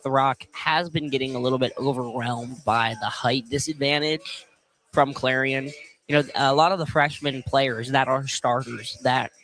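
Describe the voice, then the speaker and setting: Young guy doing live hoops analysis on air, average pitch voice. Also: fairly bright tone, low-quality recording. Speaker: sports commentator. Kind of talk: live basketball commentary, analysis. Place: indoor basketball field house.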